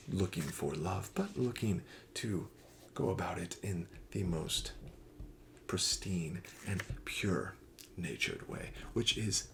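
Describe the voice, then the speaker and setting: A man playing a kindly, courtly pumpkin seller in a wizarding village, soft-spoken and often whispering in an ASMR roleplay, with flowery sales patter. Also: sits low, vocal fry, a little hiss or hum, heavily acted.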